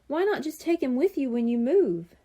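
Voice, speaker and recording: Lady doing an earnest little kid boy, high and bouncy, storybook style. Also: animated, moderate pace, mellow. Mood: earnest, eager. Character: young boys, earnest, eager grade-school kids. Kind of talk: children's audiobook character voice.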